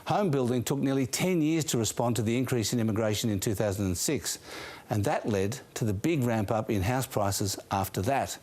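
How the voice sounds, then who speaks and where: A man's voice: mid-range voice, moderate pace, a little mellow. A man with an Australian accent presenting a scripted finance report on the news.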